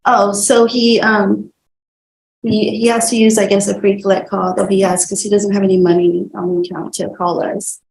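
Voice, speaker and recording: Witness in a hearing: woman, creaky and relaxed tone, halting everyday phrasing, slightly noisy courtroom recording. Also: medium pitch. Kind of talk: courtroom testimony.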